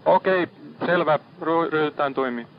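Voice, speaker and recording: A man with a fairly high voice speaks as a ship's radio operator. He handles distress traffic procedurally, repeating details back, over a dull, lo-fi marine radio channel.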